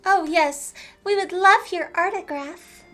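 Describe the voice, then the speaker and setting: A woman's voice: extremely high pitch, unhurried, sharp tone, soft background music. A woman giving casual, playful, quippy commentary while playing through a game. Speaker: game streamer.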